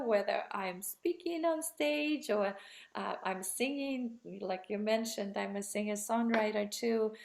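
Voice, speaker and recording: A woman's voice: on the high side, darkish tone. A woman in her thirties, originally from Russia, answering interview questions in a rambling, enthusiastic way with frequent restarts. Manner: rambling, self-correcting, enthusiastic. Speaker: guest. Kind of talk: conversational interview.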